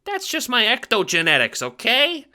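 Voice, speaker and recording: Brash little audio drama henchman: very high squeak, Italian-American gangster slang, swooping delivery. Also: wildly swooping pitch, normal speed, sharp tone, full character voice. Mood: brash, defensive. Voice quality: squeaky, chipmunk-like. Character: short clumsy squeaky wiseguy guard, brash but good-natured.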